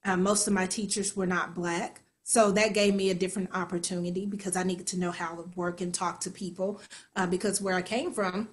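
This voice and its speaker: Lively woman actor telling stories. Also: fairly low pitch, fairly quick. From Kansas City, USA. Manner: lively storytelling, acts out her own inner voice. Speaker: actor and singer, guest. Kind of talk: conversational interview.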